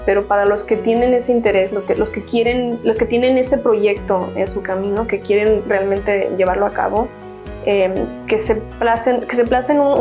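A young woman with a Mexican accent tells her own story in an earnest, chatty way, stumbling and restarting as she goes. Her voice sits at a middle pitch. Soft music plays faintly underneath. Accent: Mexican Spanish. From Mexico, Hidalgo state. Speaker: university graduate interviewee. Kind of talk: personal testimony.

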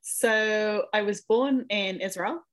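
A woman's voice: mid-range voice, slow. A young woman from England, a podcast guest, talking casually with many ums and self-corrections as she thinks aloud. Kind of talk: casual interview conversation.

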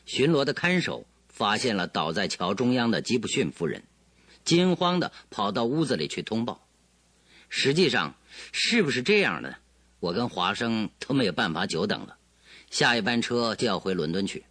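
A confident, analytical detective in a radio drama. His mid-pitched voice moves expressively through assured, theatrical explanations. The sound is close and dry. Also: sing-song, normal speed, a bit bright, no room sound. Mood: assured, explaining. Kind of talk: Chinese radio drama acting.

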